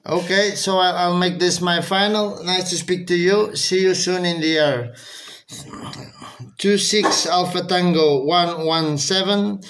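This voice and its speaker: A man's voice: high voice, a little slow, a bit bright. A man from Portugal with a Portuguese accent, speaking as an amateur radio operator making contact calls.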